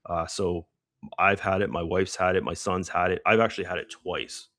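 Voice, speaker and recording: A middle-aged man hosting a live trading stream, hoarse and losing his voice, who rambles casually through market commentary with many fillers. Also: low-pitched, little pitch movement, moderate pace, fairly bright tone, no echo. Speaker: trading livestream host.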